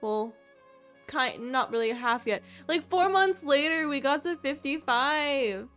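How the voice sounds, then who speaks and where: Female streamer, young, fairly high bright voice, chatty and informal, relaxed pacing. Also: a little slow. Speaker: game streamer. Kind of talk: casual livestream chat. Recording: game music behind. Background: game music.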